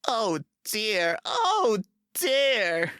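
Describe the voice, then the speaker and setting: A man putting on a proud young red steam engine from a storybook, his voice pushed up high and swinging wildly. The sound is bright and tense, recorded close and dry. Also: big pitch swings, extremely slow, clean tone, dry recording, exaggerated voice. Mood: sad. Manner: high strained cries. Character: proud young red male engine, upset then determined.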